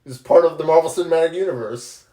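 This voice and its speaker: A man co-hosting a casual movie podcast in a laid-back, agreeable way, often trailing off quietly. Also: a little high, quick pace, a crowd in the background. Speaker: podcast co-host. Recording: roomy echoey room.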